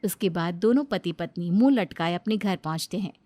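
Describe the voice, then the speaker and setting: A woman narrating a cartoon moral story in a steady, plain storytelling voice. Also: lowish voice, fairly quick, faint music behind.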